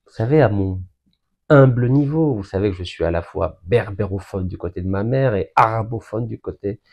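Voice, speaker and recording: Low-pitched gravelly man speaking slowly, an Algerian political speaker making a deliberate case. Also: darkish tone. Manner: emphatic, deliberate, building lists. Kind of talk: public speech.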